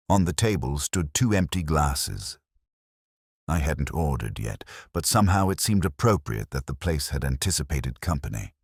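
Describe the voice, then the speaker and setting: A man reading a first-person detective story in measured, deliberate, clipped phrases. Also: extremely low pitch, breathy edge, no room sound, studio quality. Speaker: narrator.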